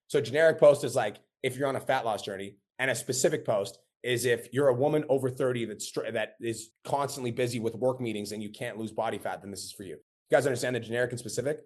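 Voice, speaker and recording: A man teaching a live coaching webinar, blunt and casual with frequent profanity. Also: medium pitch. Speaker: business coach.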